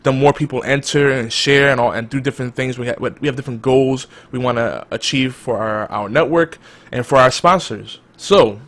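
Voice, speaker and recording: A man hosting a casual podcast chat, talking in a hyped-up, loud, energetic way. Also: medium pitch, moderate pace. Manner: energetic, self-described loud and hype. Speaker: podcast host.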